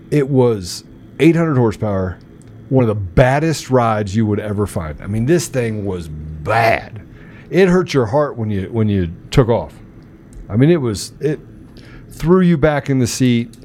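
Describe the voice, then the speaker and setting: Animated man telling stories, slight noise. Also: mid-range voice. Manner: animated, colloquial storytelling with sound effects. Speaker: talk show host. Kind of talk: casual chat, personal storytelling.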